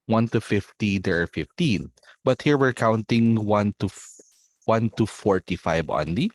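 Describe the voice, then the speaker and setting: A man's voice: fairly low pitch, animated, unhurried, darkish tone, no echo. A man with a Filipino accent teaching a math olympiad class online, explaining step by step and often checking whether students follow. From Philippines.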